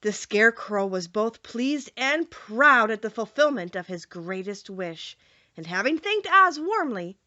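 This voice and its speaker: A woman narrating a children's story from a script in an expressive storytelling manner. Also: mid-range voice, no room sound, highs cut off. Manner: expressive, clearly paced storytelling. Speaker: audiobook narrator.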